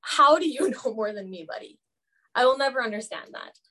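A woman's voice: on the high side, lively intonation, fairly quick, sharp tone. Candid young woman, chatty and frank. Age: young adult. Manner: candid, chatty, many "like" fillers. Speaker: student guest. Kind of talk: casual podcast roundtable.

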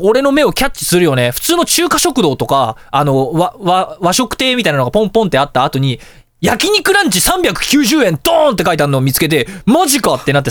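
A high-voiced man hosts a casual talk show with a very animated, swinging pitch. He tells everyday stories at a quick clip, throwing in exclamations and vocal sound effects. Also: no echo, studio quality. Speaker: radio show host. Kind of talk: casual anecdotal monologue.

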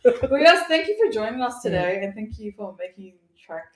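High-pitched woman, giggly podcast co-host, echoey room, faint hiss. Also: very animated, brisk. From Western Sydney, Australia. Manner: giggly, joking, says shout out a lot. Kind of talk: casual podcast interview. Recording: roomy echo, faint noise.

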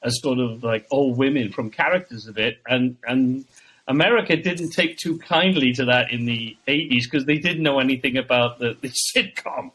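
A man's voice: medium pitch, normal speed, a bit bright, husky, low-quality recording. Chatty man, podcast guest. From Wales. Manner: chatty, laughs between anecdotes. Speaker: guest, tribute band singer. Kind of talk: casual podcast interview.

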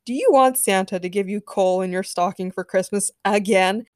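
A woman's voice: mid-range voice, normal speed, a little mellow, no echo, close to an everyday voice. A big-brotherly male superhero, voiced by a woman storyteller. He scolds with amusement, teasing, in a dry recording. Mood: chiding. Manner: teasing, chiding.

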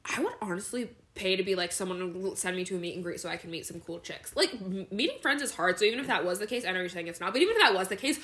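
A young woman co-hosting a podcast, chatting in a quick, upbeat way. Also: medium pitch, lively intonation, a bit bright. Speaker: podcast co-host. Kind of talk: casual chat.

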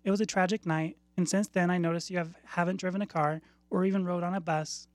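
A woman reading the part of a calm, compassionate female spirit of death in a short story, consoling and gentle. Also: lowish voice, fast, dry recording, lightly acted.

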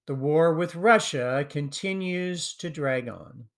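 A man preaching on his own podcast in a slow, unhurried voice that sits a little high. He reads a prepared message steadily and lets his phrases fall away at the end. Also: a bit bright. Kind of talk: scripted sermon podcast.

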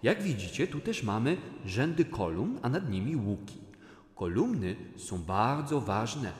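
A man's voice: medium pitch, very animated, measured pace, reverberant, long reverb tail. A man playing a kindly cathedral guide in a children's audio play, patiently explaining things to young visitors. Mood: calm, instructive.

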